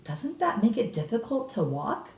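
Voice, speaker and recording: A woman's voice: a little high, big pitch swings, normal speed. A woman reading a storybook as a curious, incredulous young grandchild full of questions, in a roomy, distant recording. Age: child. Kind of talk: dramatic children's storybook reading. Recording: roomy echo, distant mic.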